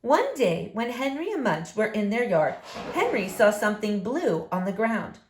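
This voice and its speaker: A woman's voice: mid-range voice, sing-song, moderate pace, slight background noise. A woman reading a picture book aloud expressively to young listeners. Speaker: story reader for children. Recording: echoey room.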